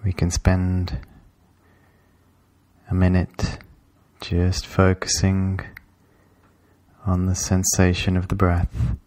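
A man leading a guided meditation in a calm, measured, instructional way, with long pauses between phrases. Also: low voice, dry recording.

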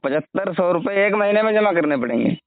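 A man's voice: sits high, animated, fast talker. A man talking casually on a phone call, answering briefly in a placating way. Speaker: recipient of a scam call. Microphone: telephone line. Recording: tinny recorded phone call, band-limited.

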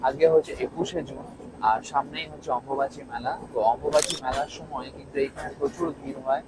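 Young man, fast, lively travel-vlog host, clear train rumble behind. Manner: lively, chatty, points things out to viewers. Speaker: travel vlogger. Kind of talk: casual travel vlog narration. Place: train coach and station platform, mostly moving train.

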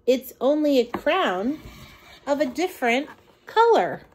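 A middle-aged woman reading a children's picture book aloud in an expressive, deliberate, sing-song way. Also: high-pitched, a little hiss or hum, rough recording. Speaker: children's storytime reader.

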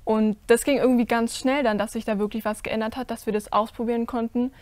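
A teenage girl on a stage panel, answering earnestly as a cast member, with many pauses and fillers. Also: on the high side, fairly even intonation, darkish tone, no room sound. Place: stage with curtain, indoors.

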